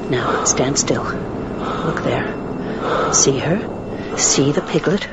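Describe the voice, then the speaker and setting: Radio play demon: unhurried, breathy, gloating, commanding tempter, noisy recording. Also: male voice, on the high side, animated, muffled recording. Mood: gloating, coaxing. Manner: slow, breathy, commanding, savouring words. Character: gloating, seductive demon urging murder. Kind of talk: radio drama acting.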